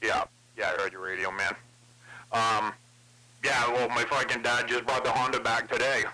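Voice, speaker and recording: A man's voice: medium pitch, flat delivery, normal speed. A man in a casual CB radio conversation, rambling along and swearing heavily. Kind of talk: casual chat over CB radio. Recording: crackly CB radio with clicks.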